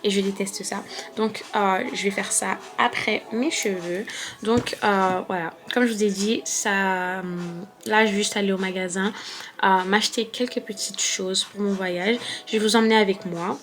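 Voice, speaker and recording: A woman's voice: medium pitch, steady tone, normal speed, a bit bright. A woman in her twenties from France, chatting casually to camera in a vlog. She rambles and fills her talk with hesitations and repeated words. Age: 20s. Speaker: vlogger.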